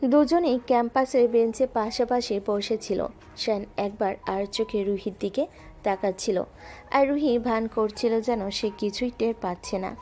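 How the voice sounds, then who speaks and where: A woman narrating a romantic audio drama in a calm, steady storytelling voice. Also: on the high side, normal speed, faint music behind.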